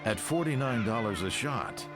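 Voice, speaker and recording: A man's voice: lowish voice, very animated, normal speed, over music. A man playing an earnest, loyal follower in a motion comic, polite but questioning. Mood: earnest, respectful. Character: earnest, loyal young man challenging his mentor.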